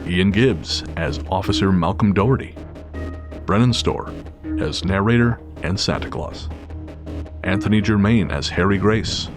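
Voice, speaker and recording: A man reading a scripted dramatic story as narrator, measured and grave. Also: sits low. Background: faint music.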